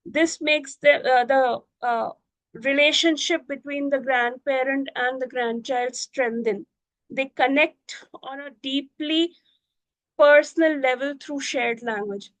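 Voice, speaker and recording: Woman teacher, native Urdu speaker, high voice, dry close sound, gentle and unhurried, many fillers. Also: fairly even intonation, no room sound, low-quality recording. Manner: gentle, unhurried, frequent "you know" and "uh". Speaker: Montessori teacher. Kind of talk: reflective talk to camera.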